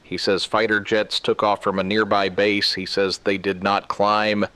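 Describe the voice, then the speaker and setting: Guy playing a grave emergency radio host, lowish voice but crisp and forceful, measured, short solemn lines, radio drama. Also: level tone. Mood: grave, controlled. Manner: solemn, deliberate, clipped short sentences. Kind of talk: scripted emergency broadcast narration.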